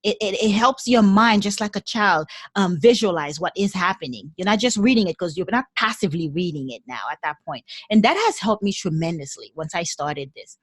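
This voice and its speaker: A woman from Haiti with a Haitian accent, a podcast guest talking in a lively way and often repeating words as she gathers her thoughts. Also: medium pitch, fairly quick, few pauses, slightly rough, no echo. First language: French and Haitian Creole. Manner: animated, repeats words while thinking. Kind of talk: casual interview conversation.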